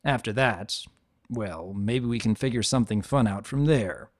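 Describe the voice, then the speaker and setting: A man's voice: fairly low pitch, moderate pace, dry recording, clean studio sound, natural voice. A man playing an easygoing, avuncular adult dragon who tells his own story with wry, conversational asides. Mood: firm, reassuring. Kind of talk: first-person dramatic reading of fan fiction.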